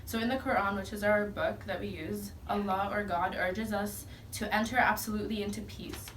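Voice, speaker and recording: A teenage girl speaks in an earnest, measured way, delivering a prepared talk on a school panel. Her voice sits at an ordinary pitch. The mic is set back from her, and a faint hiss runs underneath. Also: fairly even intonation, a bit bright. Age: teens. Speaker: student panelist. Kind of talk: prepared speech. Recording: distant mic, faint noise.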